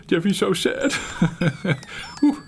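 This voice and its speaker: A middle-aged man hosting his retro gaming channel, talking while he plays in a halting, reflective way, searching for words and laughing at himself. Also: high-pitched, a little hiss or hum. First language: Dutch. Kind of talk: reflective gameplay commentary.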